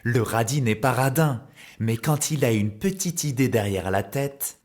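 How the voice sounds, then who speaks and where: A man's voice: medium pitch, expressive, measured pace. A man narrating a children's cartoon story as a playful storyteller in scripted style. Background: music, plucked guitar.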